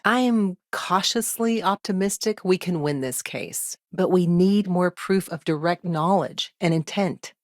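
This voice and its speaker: Woman acting as low-voiced, dry-witted lawyer. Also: no room sound, lightly acted. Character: busy, dry-witted professional woman lawyer in a space colony. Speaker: voice actor in a video game. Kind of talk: scripted game dialogue.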